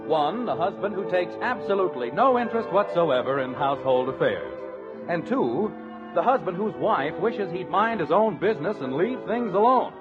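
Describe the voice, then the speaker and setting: Old-time radio announcer, man, higher-pitched, scripted showy narration over music. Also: expressive, moderate pace. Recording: dull old radio recording.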